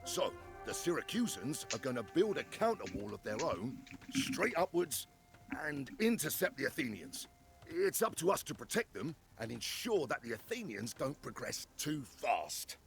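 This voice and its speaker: An actor playing a brash, menacing Greek commander in a video game, with a mocking, theatrical delivery. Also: male voice, medium pitch, sing-song, moderate pace, creaky, faint music behind. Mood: brisk briefing. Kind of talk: dramatic game voice acting.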